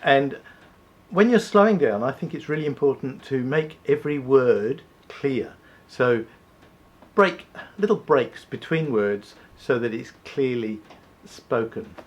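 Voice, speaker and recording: A man co-hosting a talk segment, speaking in a measured, deliberate way and slowing down to stress key points. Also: medium pitch, expressive, lo-fi sound. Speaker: co-host. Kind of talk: scripted conversational presenting.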